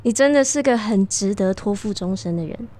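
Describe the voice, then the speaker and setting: An actress playing a gentle, sincere, reflective woman in a radio drama, speaking in a measured, deliberate way. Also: mid-range voice, lively intonation, close to an everyday voice. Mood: sincere, apologetic. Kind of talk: radio drama acting with narration.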